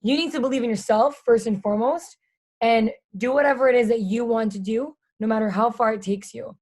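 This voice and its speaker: A woman in her twenties with a raspy voice, a guest in a casual podcast interview, talking in chatty, candid run-on sentences. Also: fairly high pitch, brisk, darkish tone. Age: 20s. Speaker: guest, stadium and social media host.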